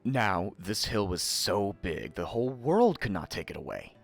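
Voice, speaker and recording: A man narrating a fairy tale in an expressive, theatrical storytelling manner. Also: fairly low pitch, measured pace, vocal fry, husky, soft background music.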